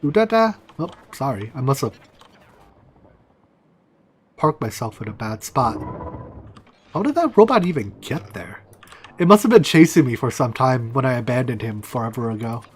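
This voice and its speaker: A man whose first language is Chinese, casually talking to himself and his viewers while he plays a game, with mumbled asides and excited outbursts. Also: mid-range voice, normal speed, long pauses, slightly rough. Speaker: streamer. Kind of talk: casual gameplay commentary.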